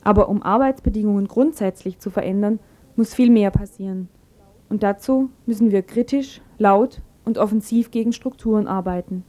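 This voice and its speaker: A woman's voice: medium pitch, moderate pace, dark tone, slight background noise. A woman presenter reading a scripted radio commentary.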